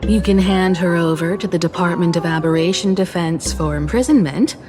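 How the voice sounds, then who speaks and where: A woman playing a composed, confident female mentor in a video game, speaking in a measured, knowing and gently teasing manner. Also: fairly low pitch, faint music behind, natural voice. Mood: teasing, assured. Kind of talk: video game character acting.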